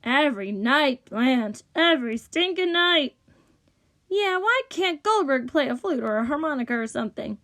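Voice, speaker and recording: A woman's voice: sits high, sing-song, brisk, few pauses, a bit bright, exaggerated voice. A woman voicing a worn-out housemaid from a storybook, complaining in exasperation. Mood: exasperated. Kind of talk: storybook character voice.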